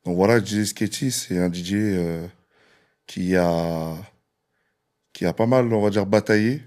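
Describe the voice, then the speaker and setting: A man from France, a guest DJ in an interview, relaxed and joking, with frequent fillers. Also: low-pitched, a little slow.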